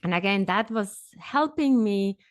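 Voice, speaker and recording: A middle-aged woman who grew up in Switzerland, a podcast guest telling her story in an animated, upbeat way. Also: medium pitch, unhurried, no echo, clean studio sound. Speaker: podcast guest, executive coach. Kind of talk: conversational interview.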